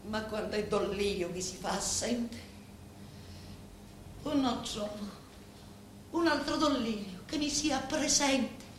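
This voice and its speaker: A woman's voice: on the high side, slow, long pauses. An actress playing a grieving, composed Sicilian woman pleading before a mob boss in a theatrical radio drama, with solemn, emphatic phrasing. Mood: solemn, firm. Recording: roomy echo with faint background noise.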